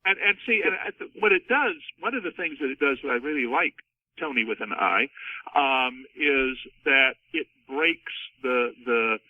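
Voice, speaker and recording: A man of middling pitch talks as an author on a book panel, repeating words as he works toward his point. The recording is dry and narrow, like a telephone line. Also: animated, moderate pace, no room sound, distant mic. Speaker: author being interviewed. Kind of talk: casual panel discussion of books. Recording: thin phone-like band, dry.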